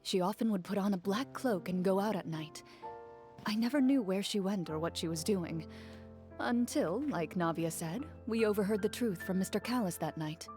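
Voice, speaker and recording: An actress playing a composed, stoic young female duelist in a video game, speaking in a measured, formal way. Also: medium pitch, a little mellow, soft background music, natural voice. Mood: reflective. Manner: measured, formal, matter-of-fact. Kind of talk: anime-style video game dialogue.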